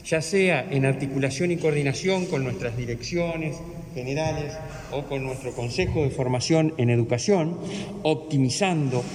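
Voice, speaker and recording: Fluent official in an echoey hall. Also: male voice, a little high. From Uruguay. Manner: fluent, long ornate sentences. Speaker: education official. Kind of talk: formal official address. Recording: echoey hall, faint noise.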